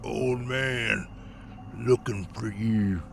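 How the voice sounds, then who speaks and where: A man's voice: lowish voice, steady tone, very slow, vocal fry, faint music behind, voice pushed up, full character voice. A wheezing, skeletal old backwoods man, put on by a male narrator. His words come out labored and gummy, a ruined figure from a horror audio story. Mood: menacing, labored. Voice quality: wheezy, mumbling toothless delivery. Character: wheezing, cadaverous old meth-ravaged hollow dweller.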